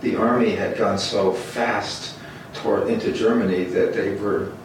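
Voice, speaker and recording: A man's voice: lowish voice, fairly even intonation, measured pace. A man interviewing an older scholar, asking questions casually with restarts. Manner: conversational, rambling questions with restarts. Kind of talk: oral history interview. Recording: roomy, distant, faint hiss.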